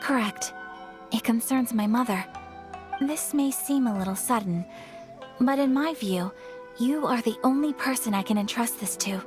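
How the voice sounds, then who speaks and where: A woman playing a polite, gentle young noblewoman in an anime video game, speaking formally and with courteous, measured phrasing. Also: a little high, lightly acted. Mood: earnest, composed. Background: background music.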